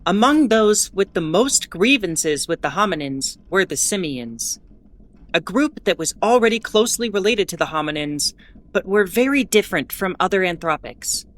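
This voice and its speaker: A woman playing a weary, sardonic starship broadcaster in an audio drama, giving history in a deliberate, grudging, pointed way. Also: lowish voice, animated, close to an everyday voice. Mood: grave, explanatory. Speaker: ship's bulletin broadcaster. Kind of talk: in-character educational monologue, audio fiction.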